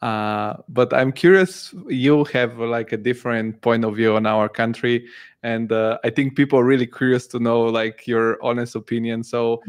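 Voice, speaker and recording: बल्गेरियाई मूल भाषा वाला एक पुरुष पॉडकास्ट मेज़बान, जो अंग्रेज़ी में सवाल पूछता है, शब्द खोजते हुए रुकता है और वाक्य दोबारा शुरू करता है।. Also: male voice, mid-range voice, steady tone, clean tone. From Bulgaria. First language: Bulgarian. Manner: halting, searching for words, many restarts. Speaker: podcast host. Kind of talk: interviewing.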